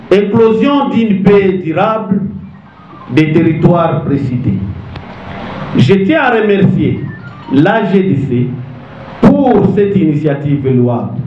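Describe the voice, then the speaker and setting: Slow, high-voiced Congolese man giving formal speech. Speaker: government official opening a conference. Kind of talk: formal speech read to an audience. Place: meeting hall. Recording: echoey room, dull sound, faint noise.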